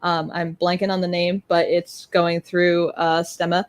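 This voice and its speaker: Female, slightly low register, informal project demo, halting and thinking out loud. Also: little pitch movement, smooth. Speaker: guest demonstrating a music electronics project. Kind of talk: casual live demo.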